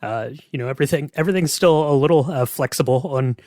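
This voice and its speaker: A man's voice: a little high, fast talker, darkish tone, no echo, clean studio sound. A man hosting a podcast, asking questions and chatting in a casual interview style. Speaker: podcast host.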